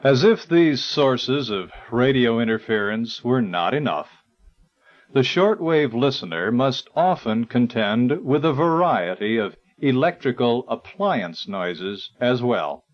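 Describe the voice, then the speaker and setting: A man reading a scripted educational narration in a formal, measured, articulate way. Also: mid-range voice, big pitch swings. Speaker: narrator of an instructional audio guide. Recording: dull, lo-fi 1980s tape recording.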